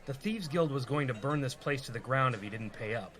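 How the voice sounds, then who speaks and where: A man plays a reluctant rogue in a radio comedy. His voice is mid-pitched, and he comes across as exasperated, evasive and pragmatic. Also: lively intonation, fairly quick, a bit bright, some chatter behind, close to an everyday voice. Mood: resigned. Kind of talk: comedy radio play acting.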